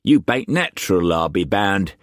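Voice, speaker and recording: A man voicing a boisterous pirate chief who speaks rough Cockney slang, jovial but menacing. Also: low voice, very animated, normal speed, no room sound, clean studio sound, pitched above the performer's own voice.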